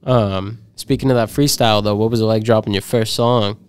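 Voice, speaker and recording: A young man hosting a podcast, asking questions in a casual, upbeat way with quick slangy reactions, speaking into a studio mic. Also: on the low side, fairly even intonation, smooth. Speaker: podcast host. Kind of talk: casual interview. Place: small studio with purple backdrop. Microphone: studio mic with headphones.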